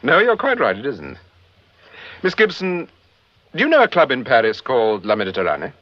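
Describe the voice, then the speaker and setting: An actor playing a suave, unflappable detective with a Received Pronunciation English accent, clipped and politely probing in an old radio drama style. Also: male voice, medium pitch, huge pitch swings, brisk. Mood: smooth, probing. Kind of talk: mid-20th-century BBC radio drama acting. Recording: dull vintage radio recording.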